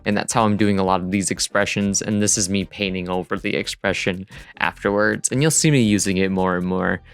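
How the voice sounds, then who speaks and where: Male vlogger, smooth clean voice, casual rambling how-to narration, music underneath. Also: deep voice, fairly even intonation, brisk, dry recording. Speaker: digital artist narrating a dev vlog. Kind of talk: casual rambling tutorial voice-over.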